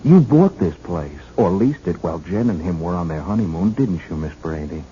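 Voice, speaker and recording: Radio-play detective: shrewd, folksy, deliberate and needling, very animated melody, dull vintage broadcast sound. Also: male voice, low voice. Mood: smug, needling. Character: shrewd, folksy male detective confronting suspects. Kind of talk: 1970s radio drama acting. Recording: muffled, hissy 1970s radio recording.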